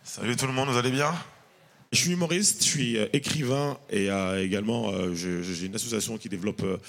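A man's voice: fairly low pitch, brisk, creaky, fairly bright tone. A man in his thirties, a comedian, talks casually to an audience on stage and then recites a rhythmic, rhyming spoken-word piece into a handheld mic. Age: 30s. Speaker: comedian and performer.

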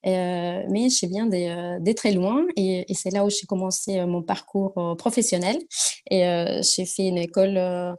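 A woman's voice: fairly low pitch, fairly even intonation, normal speed, darkish tone. Argentine woman speaking hesitant French. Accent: Argentine Spanish. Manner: hesitant, many fillers and restarts while searching for French words. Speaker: guest entrepreneur. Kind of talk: casual interview talk.

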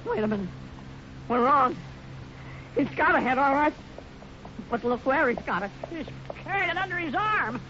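Excitable blustery cowboy, very high voice, wildly swooping, folksy, noisy muffled old radio. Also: male voice, normal speed, pauses often, heavily acted. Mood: spooked. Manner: blustery, folksy drawling exclamations. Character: excitable, boastful, comic cowboy, folksy and jumpy. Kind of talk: 1950s radio western acting.

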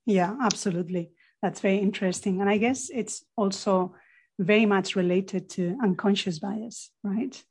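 A woman's voice: medium pitch, steady tone, moderate pace, darkish tone, husky. A woman moderating a panel discussion, affirming answers and asking follow-up questions. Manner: affirming, inquisitive.

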